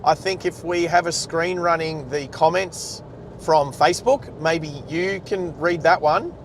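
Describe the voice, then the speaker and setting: An Australian man chatting casually and ramblingly as he hosts a livestream from inside a moving car. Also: a little slow. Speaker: livestream host, driver. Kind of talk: casual chat. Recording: car rumble and road noise.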